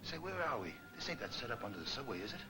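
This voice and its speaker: A man playing a brash, colloquial, excitable gangster in an old 1940s movie serial. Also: medium pitch, rapid-fire, heavy background noise, lightly acted. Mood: amused, curious. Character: slangy tough male gangster, cheerful and wondering. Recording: old 1940s film soundtrack with music.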